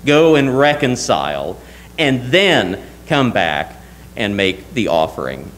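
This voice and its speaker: A man's voice: lowish voice, big pitch swings, slow pace, a bit bright, slight background noise. A young man preaching a sermon from a church pulpit, earnest and emphatic, often asking rhetorical questions. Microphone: lectern mic, wide camera.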